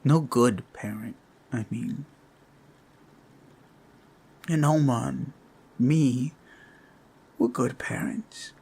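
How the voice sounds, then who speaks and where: A man voicing a devoted, worried orc father in a roleplay audio drama, speaking haltingly and earnestly. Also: mid-range voice, creaky, darkish tone, breathy edge, full character voice. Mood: heartfelt, sorrowful. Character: Omar, anxious, devoted orc father, grieving for kids.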